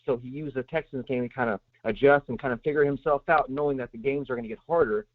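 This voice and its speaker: A man's voice: mid-range voice, fast talker, no room sound. A man calling into a sports show, rambling with lots of fillers as he gives his view. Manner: rambling, many fillers and restarts. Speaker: caller. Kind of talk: call-in sports opinion. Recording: tinny phone line.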